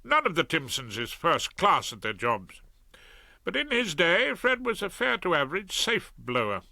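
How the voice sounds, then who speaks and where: Male audiobook narrator, droll and wry, animated delivery, dry close studio sound. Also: on the high side, normal speed, gravelly, no echo. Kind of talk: first-person comic narration and dialogue.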